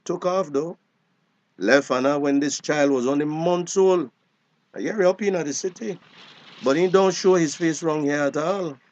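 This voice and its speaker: A man's voice: fairly high pitch, moderate pace, muffled recording, natural voice. An actor playing a weary, bitter old grandfather in a screen drama, with a Belizean accent. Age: older.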